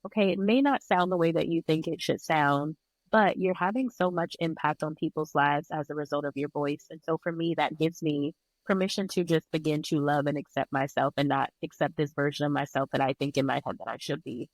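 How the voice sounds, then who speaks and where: A woman's voice: low voice, fairly even intonation, fairly quick, no room sound, rough recording. A woman speaking as an interview guest, reflective and conversational, with an earnest, encouraging way of talking. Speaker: podcast guest, breathwork and yoga instructor.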